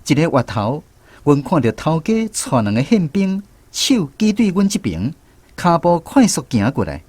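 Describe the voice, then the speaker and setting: An actor playing a reflective former soldier, narrating a radio drama expressively with a Taiwanese accent. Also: male voice, on the high side, moderate pace, husky. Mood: reflective storytelling. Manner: expressive storytelling.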